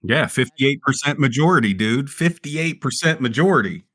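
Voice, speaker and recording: A man's voice: medium pitch, animated, normal speed, fairly bright tone, no room sound. A man playing a tabletop role-playing game, chatting casually in slangy, sweary language.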